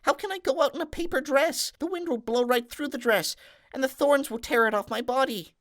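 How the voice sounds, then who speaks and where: Guy doing a high weepy young girl from a fairy tale, pleading and scared. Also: a little fast, a bit bright. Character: tearful young maiden, pleading and frightened.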